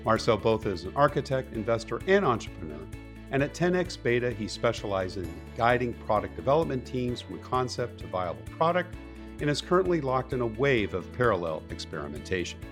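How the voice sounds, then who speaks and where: A man's voice: mid-range voice, sing-song, brisk, soft background music. A man hosting a business interview podcast, upbeat and encouraging, laughing often with his guest. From California. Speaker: podcast host. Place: Manhattan.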